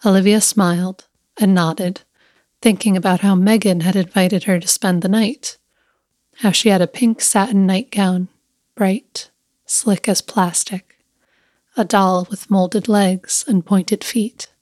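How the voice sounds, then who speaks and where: A woman reading a scripted literary story as narrator, measured and deliberate, pausing between short phrases. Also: medium pitch, fairly even intonation, a little mellow, dry recording, clean studio sound.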